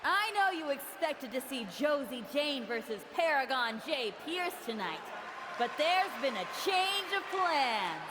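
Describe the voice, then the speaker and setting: A woman's voice: high-pitched, very animated, a little slow. A woman playing a brash authority figure making a dramatic arena announcement in a wrestling video game. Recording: very loud crowd and arena noise.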